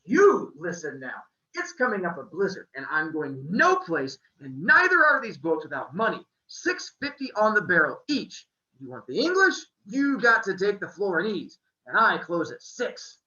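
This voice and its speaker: A reader voicing a gruff, impatient old male bookseller with a thick put-on Italian-style accent. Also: mid-range voice, huge pitch swings, moderate pace, few pauses. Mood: shouting. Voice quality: thick accent, loud and blustery. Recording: echoey room, dull sound.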